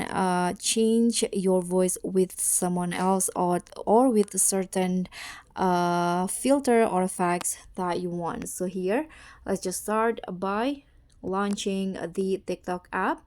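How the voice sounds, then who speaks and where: A woman hosting a casual step-by-step tutorial, narrating in a halting, deliberate way. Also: mid-range voice, mellow. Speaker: tutorial host.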